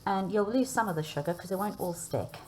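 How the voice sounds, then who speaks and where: A woman with a mid-pitched voice teaches baking in a chatty, step-by-step manner. She checks in often with short tags as she demonstrates. There is some background noise, with clicks and crinkling, as in a home kitchen video. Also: brisk, a little mellow. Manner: instructional, chatty, checking in with okay and right.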